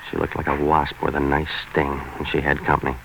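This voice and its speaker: An actor playing a hardboiled, sardonic waterfront troubleshooter who narrates his own 1940s radio noir in terse, deadpan wisecracks. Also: rumbling low, fairly quick, gravelly, heavily acted. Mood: brooding narration. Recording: noisy 1940s radio recording.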